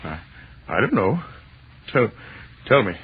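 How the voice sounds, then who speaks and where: An actor playing an awestruck, frightened and insistently curious husband, stammering urgent questions in an old-time radio horror drama. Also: male voice, on the low side, very animated, takes it slowly, husky, full character voice. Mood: fearful. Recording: narrow-band old radio broadcast with hiss.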